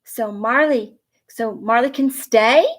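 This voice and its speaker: A woman's voice: on the high side, slow pace. A woman voicing an eager, pleading little girl from a picture book. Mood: hopeful.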